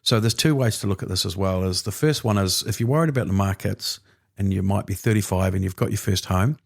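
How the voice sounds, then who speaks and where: A man in his fifties with a New Zealand accent, a guest explaining finances in relaxed, chatty talk. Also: deep voice, quick pace, creaky. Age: 50s. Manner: relaxed, chatty, frequent filler agreements. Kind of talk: casual interview chat.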